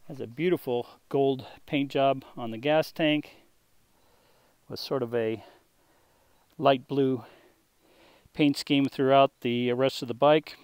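A man walks a buyer through a restored classic motorcycle in an easy, unhurried sales patter with a dry wit. His voice sits at a middling pitch, and the sound is close and dry with little room around it. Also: steady tone, darkish tone, smooth, no room sound. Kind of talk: off-camera sales walkthrough.

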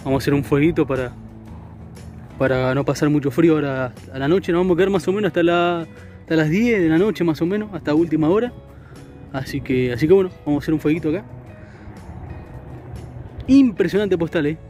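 A quick, chatty young man with an Argentine accent, talking to an action camera on a riverbank. His voice sits fairly high and mellow, and soft music plays faintly behind him. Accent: Argentine Spanish. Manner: chatty, upbeat, tags sentences with "eh". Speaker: fishing vlogger. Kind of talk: casual outdoor fishing narration. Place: riverbank outdoors.

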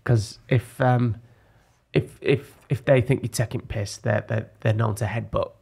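Northern English man, 30s, podcast co-host, gravelly voice, chatty jokey banter, close studio mic. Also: mid-range voice, steady tone, unhurried, darkish tone. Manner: chatty, jokey storytelling with restarts. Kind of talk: casual banter. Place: small podcast studio, indoors. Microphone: close studio mic on boom arm, headphones.

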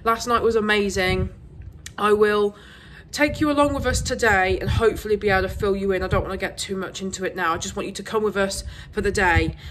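Vlogger with a cold: croaky woman, chatty and rambling, mid-range voice over faint noise. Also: medium pitch, brisk, a bit bright. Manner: chatty, rambling, with frequent ums. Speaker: travel vlogger. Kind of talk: casual vlog chat.

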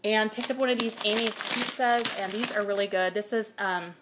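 A chatty woman in her thirties talks through her grocery haul at home, recorded close on a phone camera. She reads prices aloud in a relaxed, easygoing way, stopping for small fillers. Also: medium pitch, moderate pace, very noisy. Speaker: vlogger. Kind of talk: casual grocery haul chat. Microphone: phone camera held close.

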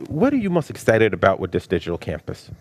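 A man's voice: fairly low pitch, wildly swooping pitch, quick pace, darkish tone. A man, a pastor hosting a church interview, speaking in an upbeat, encouraging way. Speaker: pastor, host. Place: church stage.

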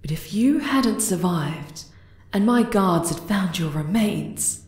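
A woman voicing a regal, possessive yet tender spider queen in an ASMR roleplay, soft and deliberate in manner. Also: mid-range voice, lively intonation. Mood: anguished. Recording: roomy, echoing cave-like reverb.

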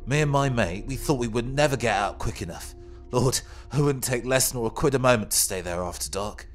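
A man's voice: medium pitch, a little fast, natural voice. Man playing a chatty Cockney Victorian carter. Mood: spooked, gossipy. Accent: London Cockney. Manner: colloquial, colourful, emphatic. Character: chatty, rough working-class Victorian London carter. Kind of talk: character acting in audio drama. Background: music.

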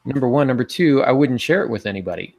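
A man's voice: medium pitch, expressive, quick pace, creaky, a little mellow, dry recording. A middle-aged man chatting casually as a podcast host in a writers' discussion, recorded on a video call. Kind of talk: casual panel discussion on writing. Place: small home office, indoors. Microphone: earbud headset on video call.

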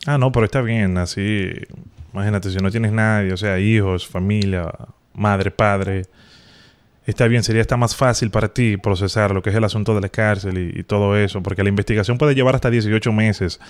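A young man hosting a prank phone call, speaking gravely like an official into a close studio mic. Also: lowish voice, fairly even intonation, fairly quick. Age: young adult. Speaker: prank call show host. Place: home studio room. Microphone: close studio mic on boom arm. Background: music.

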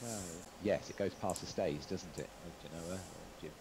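A man sailing along in a game session, halting and thinking aloud as he works things out. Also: sits low, heavy background noise. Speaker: club sailor playing along. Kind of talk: casual chat while gaming.

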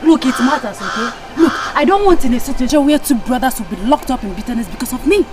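Brisk, fast-talking young woman. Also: high voice, lively intonation, a little mellow. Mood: annoyed. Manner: brisk, firm. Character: firm, no-nonsense young woman rebuffing a suitor. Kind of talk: Nigerian comedy film acting.

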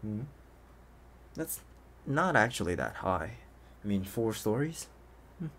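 A man playing an obsessive, playful young intruder in an ASMR roleplay, coaxing the listener like a doting owner, with hesitant pauses and hushed asides. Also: lowish voice, very animated, full character voice. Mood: casual, doting.

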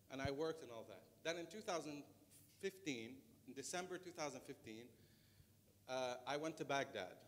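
A man's voice: mid-range voice, fairly bright tone. A man in his thirties with a Lebanese accent gives an informal presentation as a conference speaker in a large lecture auditorium. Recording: reverberant lecture hall, background noise.

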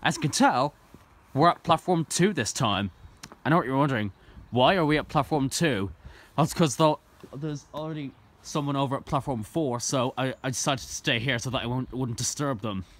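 A man's voice: mid-range voice, moderate pace, fairly bright tone. A young man from Ireland filming at an outdoor train station platform on a phone, narrating casually and eagerly with lots of ums and restarts. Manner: rambling, full of ums and restarts, enthusiastic. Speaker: trainspotting vlogger. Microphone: phone camera held by the filmer.

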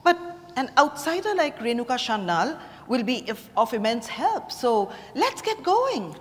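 A woman's voice: sits high, very animated, measured pace. An Indian-accented woman acting an authoritative, guarded bereaved mother in a staged play reading. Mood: composed, probing. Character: composed, authoritative bereaved mother, defensive under questioning. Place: stage in a hotel ballroom. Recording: reverberant hall, distant stage pickup.

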